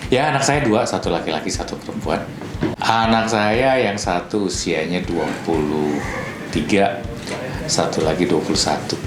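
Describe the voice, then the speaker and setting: A man in his fifties answers casually in a deep voice. The recording is echoey, with clear noise around him. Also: normal speed. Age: 50s. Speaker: interviewee, father of two.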